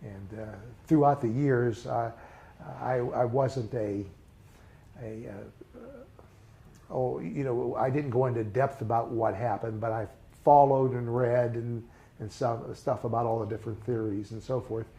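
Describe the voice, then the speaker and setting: An older man reminiscing in a casual interview, speaking haltingly with many fillers and restarts. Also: medium pitch, steady tone, dark tone. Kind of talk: recalled personal memories in a casual interview.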